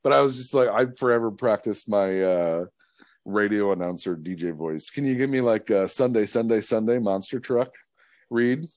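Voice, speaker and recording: A man's voice: fairly low pitch, normal speed, darkish tone, dry recording, clean studio sound. A man in his thirties hosting a podcast, playful and teasing, laughing often. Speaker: podcast host. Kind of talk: casual chat.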